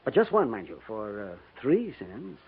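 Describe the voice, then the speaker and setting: An American actor playing a tight-fisted, wheedling trader at a frontier army post, hemming and hawing in 1950s radio drama style. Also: male voice, medium pitch, wildly swooping pitch, normal speed, creaky, breathy edge, exaggerated voice. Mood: wheedling, reluctant. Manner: hemming and hawing, haggling reluctantly. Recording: muffled, noisy 1950s radio recording.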